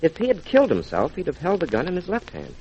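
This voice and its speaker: A sharp radio detective from a 1940s crime drama. He explains with confident, deliberate delivery and lively swings of pitch. The old recording is dull, with a faint hiss. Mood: assured. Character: sharp, self-assured male detective explaining how he solved it.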